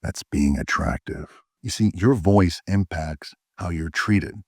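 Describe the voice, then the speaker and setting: Guy with a super deep voice doing dating coach talk, keeps saying all right?, dry close sound. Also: a little slow, slightly rough, no room sound. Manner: emphatic, with frequent "all right?" check-ins; fillers and restarts. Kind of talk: direct-to-camera advice talk.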